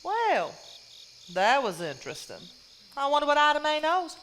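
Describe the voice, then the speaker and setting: A woman's voice: high-pitched, huge pitch swings, moderate pace, a bit bright, heavily acted. An actress playing a weary, unhappily married 1950s Southern mother in a live stage drama, with a Southern US accent. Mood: chatty, sympathetic. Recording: live stage recording, background hiss and ambience.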